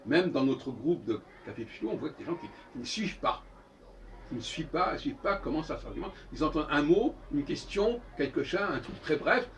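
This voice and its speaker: A man gives an improvised, opinionated lecture, halting and correcting himself, repeating phrases for emphasis. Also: mid-range voice, muffled recording. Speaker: speaker giving a philosophical talk. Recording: distant microphone, noisy room ambience.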